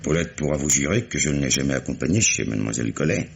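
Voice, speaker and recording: An actor playing a touchy crime suspect in a French radio mystery, answering with indignant, evasive, sarcastic politeness. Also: male voice, very deep voice, expressive, fast talker, vocal fry, heavily acted. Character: male crime suspect, touchy, sardonic former swindler. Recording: faintly noisy old radio recording.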